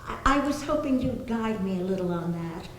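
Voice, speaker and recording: A woman's voice: mid-range voice, expressive, brisk, natural voice. A woman on stage playing a hesitant, unsure client in a play. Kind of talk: live stage play dialogue. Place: theatre stage, recorded from a distance. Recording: very echoey hall, faint noise.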